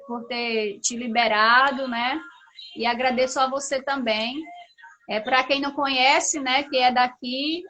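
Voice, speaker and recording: A woman's voice: high-pitched, sharp tone. A woman from Paraíba, hosting an informal religious livestream in an effusive, devout way. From Paraíba, Brazil. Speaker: livestream host. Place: remote social media livestream.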